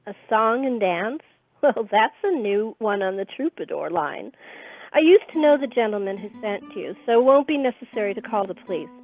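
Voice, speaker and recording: A woman's voice: mid-range voice, lively intonation, normal speed, no room sound. Woman playing an arch, haughty young lady. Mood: haughty, amused. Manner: arch, formal. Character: haughty, wry young lady, coolly dismissive. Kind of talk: radio play acting. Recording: tinny narrow-band audio, faint music.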